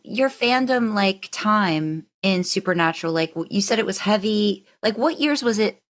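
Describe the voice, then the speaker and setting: A woman with a mid-range voice hosts a relaxed conversational show. She phrases things haltingly and loosely, circling her questions with plenty of filler words. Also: medium pitch. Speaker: podcast host. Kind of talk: casual chat.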